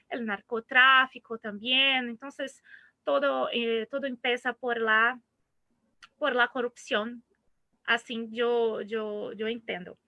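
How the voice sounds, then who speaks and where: Brazilian woman speaker, bright, crisp tone, Portuguese-accented Spanish with restarts, seminar talk. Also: high-pitched, no room sound. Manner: mixes Portuguese words into halting Spanish, frequent restarts. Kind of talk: online seminar lecture.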